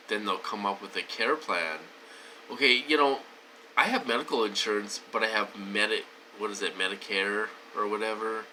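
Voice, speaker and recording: A man's voice: on the low side, pushed and bright, slight background noise, poor audio. A man talking casually to his viewers, wheezy and short of breath, rambling with many fillers and restarts. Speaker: vlogger. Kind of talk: casual talk to camera.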